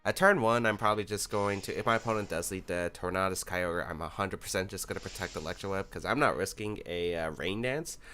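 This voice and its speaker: A man hosting his own gaming channel who talks through his choices out loud in a relaxed, analytical way. He is a little sick, so his voice sounds a bit off. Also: sits low, brisk, few pauses. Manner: thinks aloud, analytical, relaxed with fillers. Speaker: YouTube competitive gaming host. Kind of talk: casual gameplay commentary.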